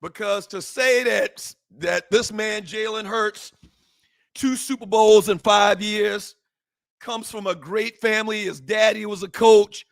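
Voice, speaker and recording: A man hosting a sports talk show, fervent and emphatic, repeating phrases and rising to shouts, his voice a little hoarse. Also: high-pitched, steady tone, slow, no room sound. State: slightly hoarse, voice worn from shouting. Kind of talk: impassioned sports talk monologue.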